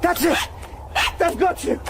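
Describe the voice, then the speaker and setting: A frightened, high-pitched young man. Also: unhurried, gravelly, heavy background noise, exaggerated voice. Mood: desperate urging. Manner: short urgent pleading phrases. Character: frightened young man calling desperately to his dog. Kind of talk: radio drama acting.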